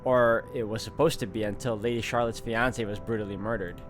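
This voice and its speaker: A man's voice: lowish voice, steady tone, fairly quick. A man doing a let's play, reading a visual novel aloud and adding casual asides as he thinks aloud. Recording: music behind the voice.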